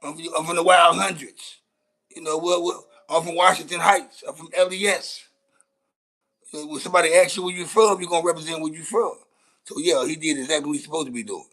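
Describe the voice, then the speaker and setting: A man's voice: a little high, fairly even intonation, fairly quick, a bit bright, rough recording. An American man giving casual livestream commentary, with a blunt, slangy, repetitive way of talking. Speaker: content creator reacting to news clips.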